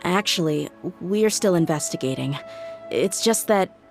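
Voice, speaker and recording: A woman's voice: lowish voice, expressive, unhurried. An actress playing a tough young female mercenary bodyguard who talks frankly and casually, with faint music behind.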